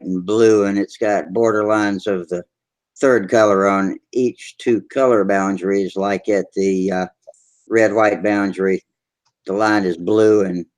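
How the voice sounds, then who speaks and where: A man hosting a hobby forum video hangout, chatting in a relaxed, rambling way with many pauses. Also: on the low side, no echo. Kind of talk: casual video call chat. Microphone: video call.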